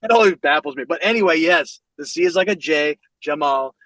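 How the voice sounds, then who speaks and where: A man in his forties from the American Midwest, a podcast guest telling family stories in an animated, loose way, swearing freely and laughing as he talks. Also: on the high side, brisk, no echo, low-quality recording. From United States, Northwest Indiana. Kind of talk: casual storytelling conversation.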